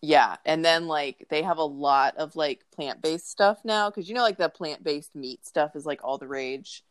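Low-voiced woman, stuffy and congested, cracking wry jokes on her podcast. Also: normal speed. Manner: joking, wry asides. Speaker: podcast co-host. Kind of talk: casual chat.

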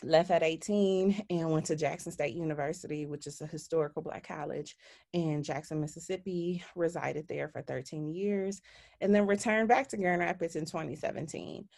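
A woman speaks in a very low voice. She sounds earnest and reflective as she tells her story. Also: moderate pace, gravelly, a little mellow. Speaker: guest. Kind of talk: interview storytelling.

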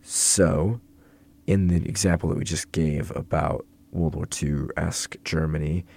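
A young man, hoarse from illness and yelling, casually explaining moral philosophy in a rambling, self-correcting way. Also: a bass voice, fairly even intonation, measured pace.

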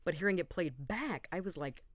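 A woman with a low voice co-hosting a podcast, chatting casually and joking about herself. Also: expressive, brisk, no echo. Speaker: podcast co-host.

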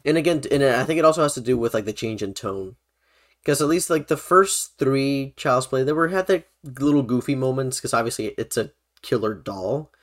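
A man's voice: medium pitch, moderate pace. A young man hosting a podcast, chatting casually about films. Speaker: podcast host.

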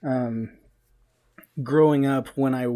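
A man hosting a casual podcast interview, speaking haltingly and pausing partway through his questions. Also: mid-range voice, fairly even intonation, warm tone.

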